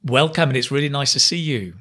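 A man's voice: mid-range voice, quick pace, pushed and bright. A man hosting an interview, a teacher who talks in a friendly way and often restarts his words. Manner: hesitant, repeats words, friendly. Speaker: interview host and English exam teacher. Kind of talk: interview conversation.

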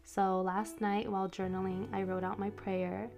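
A woman hosting her own reflective podcast, congested from a cold and speaking slowly and gently as she reads her own poetry. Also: mid-range voice, level tone, faint music behind. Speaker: podcast host.

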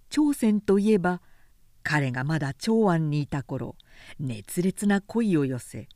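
A woman narrates a literary historical tale at a very unhurried pace. Her delivery is deliberate and measured, with a medium-pitched voice in a dry, echo-free recording. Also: dark tone.

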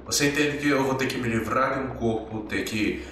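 A man voicing a suave, calculating vampire with a measured, courteous, condescending way of talking in a tabletop game. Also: medium pitch, a bit bright, a little hiss or hum, close to an everyday voice. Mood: cool courtesy. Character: suave, calculating male vampire who hypnotizes victims. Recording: echoey, distant, music behind.

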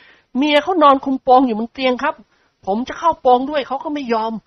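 Jumpy jokey young guy, high voice, as a reader puts him on. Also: brisk, dry recording, highs cut off, natural voice. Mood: jittery. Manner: high and excitable.

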